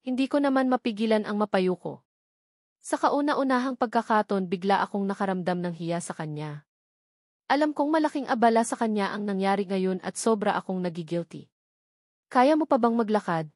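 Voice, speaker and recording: A woman's voice: mid-range voice, expressive, a little fast, a little mellow, no echo. Woman narrating a romance novel, dry sound. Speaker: story narrator. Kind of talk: scripted first-person romance novel narration.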